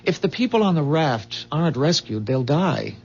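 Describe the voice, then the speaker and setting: A dull, muffled old radio drama recording of a calm, solemn man from a doomed future. His husky, creaky voice explains things in measured phrases, with pitch that moves widely for emphasis. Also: faint music behind, full character voice. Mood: solemn, earnest. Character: calm, earnest male visitor from a dying future. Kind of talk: 1970s radio drama acting.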